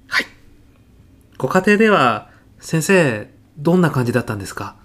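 A man playing an earnest physicist in an audio comedy sketch, speaking formally and reverently of his mentor with deadpan, grandiose solemnity. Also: fairly high pitch, moderate pace. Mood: solemn, respectful. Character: earnest, reverent male physicist, devoted protégé of late professor.

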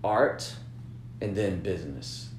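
A man's voice: low-pitched, takes it slowly. A man talking through business plans in a casual, rambling way, often repeating words and checking in with "you know what I'm saying". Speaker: business-minded artist partner. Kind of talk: casual planning chat. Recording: echoey room with noise.

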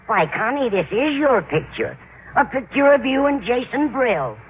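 A woman's voice: on the high side, very animated, moderate pace, phone-quality sound. An actress playing a kindly, scatterbrained older landlady who fusses in a motherly, literal-minded way, in old radio comedy style. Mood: startled, fretful. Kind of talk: 1940s-50s radio comedy acting. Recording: thin, noisy old-time radio broadcast.

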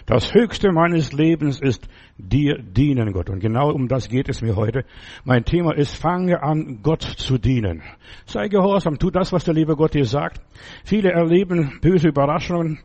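Male pastor, older, gravelly and husky, fairly high voice, earnest exhorting sermon delivery. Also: a little mellow, highs cut off. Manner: earnest, exhorting, repeats key phrases, slow emphatic stresses.

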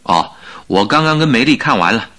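An actor playing a good-natured, wry husband and detective's companion in a radio drama, bantering and exclamatory. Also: male voice, mid-range voice, lively intonation, brisk, background noise, natural voice. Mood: eager.

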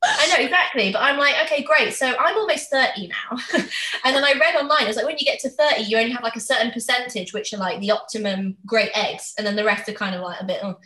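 A woman's voice: fairly high pitch, quick pace, sharp tone. A woman, talking candidly and chattily in a casual conversation. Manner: chatty, candid, acts out others' questions. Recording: roomy, echoey sound.

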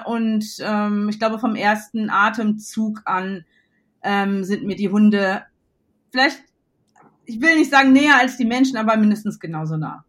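A bubbly woman in her forties from western Germany talks as a podcast guest in a bright, high voice. She laughs easily and wanders through her stories with plenty of fillers. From North Rhine-Westphalia, Germany. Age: 40s. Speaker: podcast guest, illustrator and start-up founder. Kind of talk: casual interview chat.

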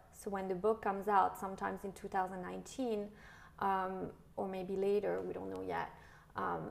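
Middle-aged woman, host, casual interview talk, fry in the voice, lots of ums. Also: medium pitch, darkish tone. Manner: conversational, filler-heavy, prompting.

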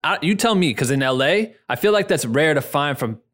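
A man from Iowa, hosting a casual interview, energetic and slangy in his questions. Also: on the high side, fairly quick.

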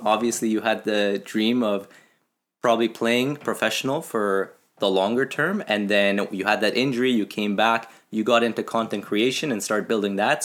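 A man's voice: fairly low pitch, fairly bright tone. Upbeat young man hosting a podcast. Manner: upbeat, scripted-sounding intro, then friendly questions. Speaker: podcast host. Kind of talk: podcast intro and interview questions.

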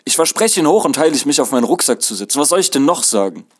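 A man's voice: on the low side, a little fast. A young male traveller in a narrated story, played by the man reading it. He pleads earnestly and politely, with exasperation creeping in. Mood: exasperated.

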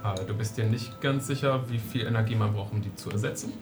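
A man running a tabletop game as game master, narrating in a measured, descriptive way with fillers, music playing behind. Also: lowish voice.

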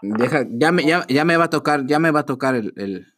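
A man's voice: on the high side, lively intonation, fairly quick, fairly bright tone. A man improvising a flustered, bickering husband on a prank phone call, stalling, repeating himself and pleading melodramatically. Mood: rambling. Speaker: podcast host making a prank call.

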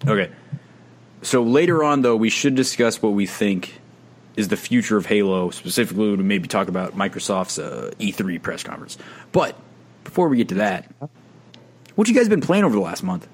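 A man's voice: on the low side, animated. A man hosting a casual gaming podcast, upbeat and steering the chat between topics. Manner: upbeat, quick, steers the conversation. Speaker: podcast host.

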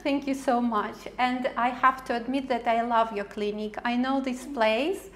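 A woman's voice: fairly high pitch, steady tone, a little slow. A woman TV host interviewing a guest, effusive and praising, with frequent hesitant fillers. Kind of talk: interview questions.

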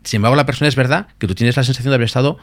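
A man from talks quickly and eagerly, piling on asides as he explains. His voice sits at a middle pitch. The sound is dry and close, with no room echo. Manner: fast, animated explaining with many asides. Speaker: guest, lucid dreaming expert. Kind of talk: podcast interview explanation.

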